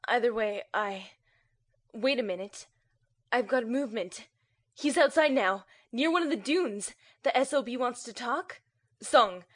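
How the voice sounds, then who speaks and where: A woman playing a tough team leader under fire in an audio drama, blunt, urgent and authoritative. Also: a little high. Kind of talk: audio drama military acting.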